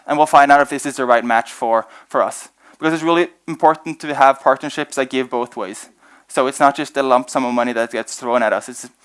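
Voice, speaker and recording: Young man, quick delivery, smooth clear voice, stage presentation, earnest with fillers. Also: mid-range voice, a bit bright. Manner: fast, earnest, many "uh" fillers. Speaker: organization representative presenting at event.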